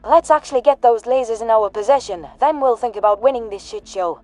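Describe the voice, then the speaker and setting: A higher-pitched female soldier, played by a woman in a sci-fi audio drama, with faint music underneath. She is tough and sardonic, carrying grief under a blunt, sweary delivery. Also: brisk, darkish tone, no room sound, lightly acted. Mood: brisk, blunt. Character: tough, grieving, foul-mouthed human woman soldier, widowed.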